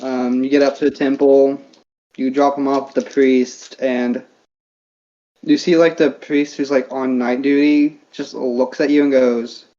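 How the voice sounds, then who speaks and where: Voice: mid-range voice, flat delivery, measured pace, dark tone. A game master casually narrating a tabletop game with lots of fillers. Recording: dull lo-fi audio.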